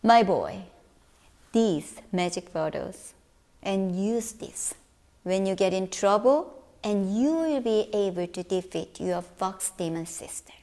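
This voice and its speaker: A woman voicing a gentle old wise monk in a folktale, measured and calm. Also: medium pitch. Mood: kind.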